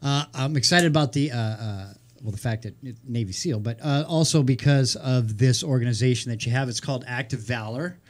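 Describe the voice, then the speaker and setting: Fast talking radio host guy, mid pitch, rambles and stammers, chatty. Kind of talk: casual radio interview.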